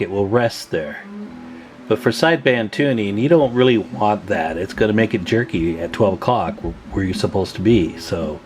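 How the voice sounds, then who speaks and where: A man giving a casual hands-on radio repair tutorial, thinking aloud in a folksy, informal way. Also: on the low side. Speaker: radio repair hobbyist hosting a tutorial video.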